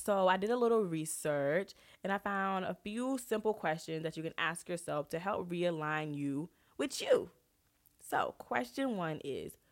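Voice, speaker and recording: Low-voiced woman podcaster with a head cold. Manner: earnest, asks listeners direct questions. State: congested with a cold, sniffly. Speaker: podcast host. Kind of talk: casual solo podcast advice talk.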